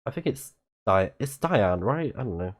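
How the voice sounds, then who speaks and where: Low-voiced man streaming a game, slightly scratchy. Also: very animated, normal speed. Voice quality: a bit scratchy. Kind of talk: casual live let's play, reading game texts aloud.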